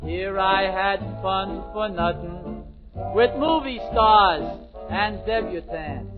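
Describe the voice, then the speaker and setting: A man's voice: high voice, slow, over music. A man singing an easygoing, crooning 1940s radio song number. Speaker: singer. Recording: tinny 1940s radio broadcast with band.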